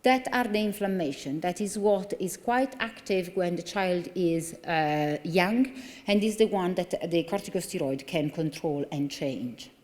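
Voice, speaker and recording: A woman's voice: lowish voice, a little slow, a little mellow. A woman in her forties with a strong Italian accent, a neurologist giving an earnest conference talk at a lectern with many fillers and restarts. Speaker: consultant neurologist. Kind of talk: conference lecture. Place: large conference hall. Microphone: lectern microphone. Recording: long reverb of a large hall.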